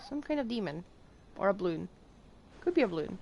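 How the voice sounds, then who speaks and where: A woman's voice: mid-range voice, sing-song, brisk, creaky, lo-fi sound, noisy background. A woman livestreamer giving casual, chatty game commentary with dry quips. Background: game music.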